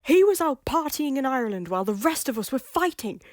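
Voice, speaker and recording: A woman's voice: high voice, sing-song, brisk, no room sound. A woman voicing a bitter, distrustful young male prince who claims the Scottish crown, speaking with scorn in a fan audio drama. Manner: scornful, sharp-tongued. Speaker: voice actor.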